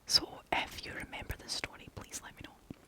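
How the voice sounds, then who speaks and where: A storyteller reading folk tales in a breathy, unvoiced ASMR whisper, gently and expressively. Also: fairly quick. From United Kingdom.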